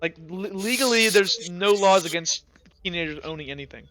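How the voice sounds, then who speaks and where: High-voiced man running a tabletop game. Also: brisk, noisy background. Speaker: game master. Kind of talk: casual improvised tabletop game narration.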